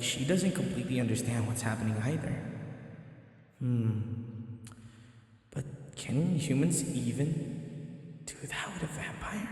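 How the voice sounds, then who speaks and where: A man's voice: mid-range voice, darkish tone, slightly rough. A man playing a timid, submissive human servant in an audio roleplay, speaking hesitantly and deferentially with stammers. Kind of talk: audio roleplay acting, one-sided dialogue.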